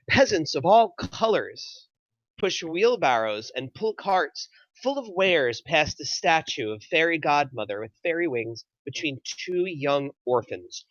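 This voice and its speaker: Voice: mid-range voice, fairly bright tone, dry recording, muffled recording. A narrator reading scripted stage directions aloud at a film table read.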